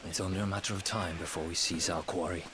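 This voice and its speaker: A man's voice: sits low, steady tone, fast talker, very noisy, lightly acted. An actor playing a soldier officer who reports to his superior in a deferential, reassuring way.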